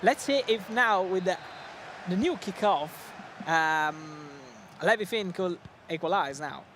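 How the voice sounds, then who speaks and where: Excitable Italian-accented male co-commentator, high-pitched voice, noise audible behind him. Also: measured pace. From Italy. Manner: excitable, laughs often, non-native phrasing. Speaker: esports co-commentator. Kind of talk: live esports commentary.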